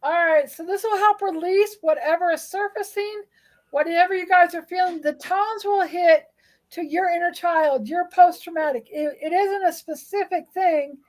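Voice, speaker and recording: A slightly hoarse woman co-hosting a podcast, rambling gently about energy healing. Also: very high voice, moderate pace, poor audio. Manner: rambling, soothing explanations. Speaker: podcast co-host and energy healer. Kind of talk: casual spiritual chat.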